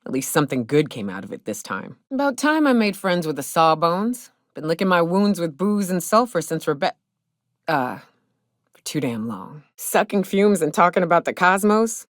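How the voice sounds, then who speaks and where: An actress playing a hard-drinking, trigger-happy but loyal frontier mercenary in a video game, with folksy, salty, sardonic phrasing. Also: female voice, lowish voice, big pitch swings, moderate pace, no echo, clean studio sound. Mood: wry, gruff. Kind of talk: video game character dialogue.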